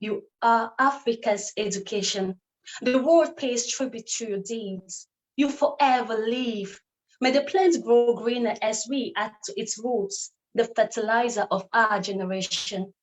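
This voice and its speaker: A woman's voice: a little high, a little slow, poor audio. A woman from Cameroon performing a spoken word poem in a rhythmic, declamatory way, with repeated refrains.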